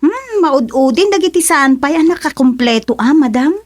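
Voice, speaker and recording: A woman playing an excitable village wife hoping to work abroad, with a husky voice and Ilocano accent, in a radio comedy drama. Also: sits high, normal speed, no room sound. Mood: deferential, anxious.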